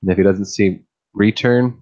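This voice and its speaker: Deep male voice, very low, monotone, rambling casual chat, muffled lo-fi sound. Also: normal speed. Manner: rambling, easygoing, thinks aloud with many restarts. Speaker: fish-keeping streamer and YouTuber. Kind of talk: casual late-night chat.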